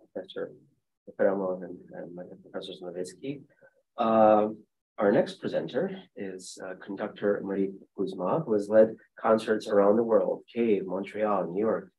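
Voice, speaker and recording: A man with a lowish voice moderates a panel discussion. He reads a formal introduction from notes in a seminar room, picked up by a room mic. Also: darkish tone, low-quality recording. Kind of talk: reading a speaker introduction.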